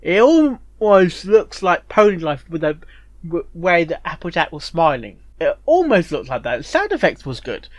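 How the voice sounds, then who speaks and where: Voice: medium pitch, sing-song, moderate pace, no echo. A host giving casual reaction commentary, rambling and often repeating words and phrases. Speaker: reviewer and host of a fanfiction reaction show.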